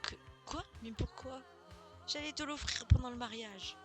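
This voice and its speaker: A woman's voice: high voice, normal speed, pitched above the performer's own voice, lightly acted. Woman reading stunned young groom, plain delivery, game music behind. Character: shocked young man groom.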